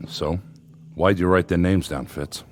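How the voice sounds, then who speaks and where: An actor playing a gruff but concerned army captain in a radio drama, plain-spoken and probing. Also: male voice, deep voice, steady tone, a little slow, slight background noise. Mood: firm, puzzled. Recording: radio drama with music underneath.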